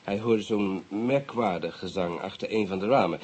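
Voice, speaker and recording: A man acting a courteous, guarded British police investigator in a radio play, speaking in a measured, matter-of-fact way with polite English phrases. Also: fairly low pitch, darkish tone, breathy edge. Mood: measured, informing. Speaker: police officer. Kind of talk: radio drama dialogue.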